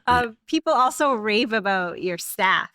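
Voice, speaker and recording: A woman interviewer with a mid-range voice asks friendly, leading questions in relaxed conversation. The sound is dry and close. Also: medium pitch, a little slow, no room sound. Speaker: interviewer, host. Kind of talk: casual interview chat.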